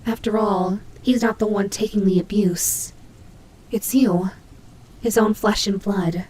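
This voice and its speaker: A woman's voice: medium pitch, steady tone, a little slow, darkish tone, a little hiss or hum, natural voice, rough recording. A woman playing a prickly but caring female nightmare demon rabbit in an audio roleplay, speaking to the listener with hesitant, teasing, flustered delivery. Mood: subdued, confessing.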